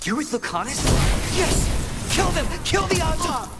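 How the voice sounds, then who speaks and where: A man's voice: very high voice, sing-song, normal speed, shouting, loud music behind the voice. An actor playing a young, grieving assassin who pleads urgently for his slain cousin in a video game. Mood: desperate, angry. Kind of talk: dramatic video game acting.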